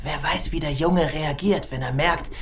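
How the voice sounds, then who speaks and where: An actress playing an eager but cautious little toy figure leading its friends in a children's radio play. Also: female voice, low-pitched, normal speed, noisy background.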